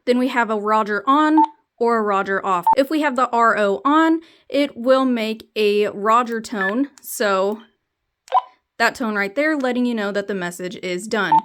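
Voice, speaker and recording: A woman's voice: on the high side, measured pace, fairly bright tone, slight background noise. A woman reviewing a product, explaining its features plainly, step by step. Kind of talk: explanatory product demo narration.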